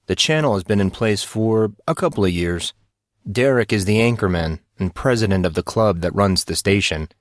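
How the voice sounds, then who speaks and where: A man's voice: fairly low pitch, lively intonation, no echo, close to an everyday voice. Man as composed, explanatory schoolboy, dry sound. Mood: matter-of-fact. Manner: measured, explanatory. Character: composed, matter-of-fact teenage schoolboy explaining things. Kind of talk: audiobook character dialogue.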